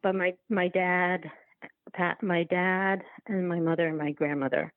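A woman answering interview questions as a filmmaker, in a halting, conversational way with repeated words. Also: fairly low pitch, fairly even intonation, no echo, phone-quality sound. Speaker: documentary filmmaker, podcast guest.

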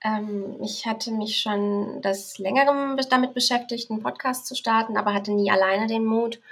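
A woman's voice: a little high, fairly even intonation, normal speed. A twenty-seven-year-old woman from Berlin, a podcast guest, talking in a cheerful, somewhat hesitant way. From Berlin, Germany. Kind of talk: casual interview talk.

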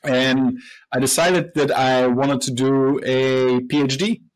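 A man from Belgium in his thirties, a professor and company co-founder, answering interview questions in a relaxed storytelling way, with fillers and restarts. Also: mid-range voice, slow, low-quality recording.

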